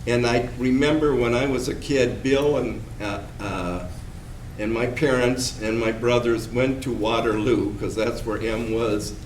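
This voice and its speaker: An older man recalls family history from the audience, pausing and restarting as he talks. His voice sits at an ordinary pitch. It is picked up from a distance in a roomy hall, with a faint hum behind him. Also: steady tone. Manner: halting, with many restarts and pauses. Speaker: audience member, descendant. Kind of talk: spontaneous reminiscing from the audience. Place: meeting room at a public talk.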